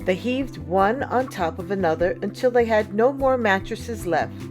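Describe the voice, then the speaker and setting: A woman reading a children's picture book aloud as a storyteller, in an expressive, deliberate way. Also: medium pitch, music in the background. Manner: deliberate, expressive storybook delivery with acted sound words.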